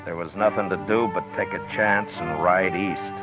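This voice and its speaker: A man playing a stern, deadpan American frontier marshal in a 1950s radio western, both in dialogue and as narrator. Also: low-pitched, moderate pace, close to an everyday voice. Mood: grave narrating. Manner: laconic, deadpan. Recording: dull 1950s radio recording, music behind.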